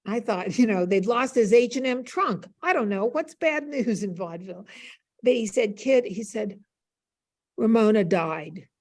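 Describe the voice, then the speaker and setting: A woman's voice: a little high, animated, a little slow. Older woman, casual storyteller, playful, self-deprecating, chatty, video call from a classroom. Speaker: panel guest, university professor.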